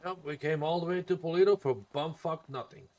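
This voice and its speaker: A man's voice: a little high, steady tone, brisk, a little mellow. A man chatting casually and crudely while role-playing a paramedic in an online game. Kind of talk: casual in-game roleplay chat.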